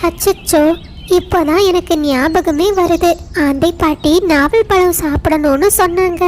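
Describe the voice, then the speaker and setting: A wily little female sparrow from a children's cartoon, voiced by a woman. The voice is very high and small, with a cheerful, scheming manner. Faint noise sits behind it. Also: unhurried. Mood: feigned forgetfulness. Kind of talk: children's cartoon story acting.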